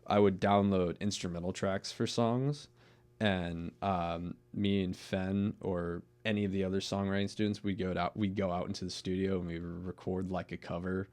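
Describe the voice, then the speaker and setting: A young man with a deep voice chatting casually as a podcast guest, rambling with restarts and swearing. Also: flat delivery, normal speed, darkish tone. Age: young adult. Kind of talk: casual chat.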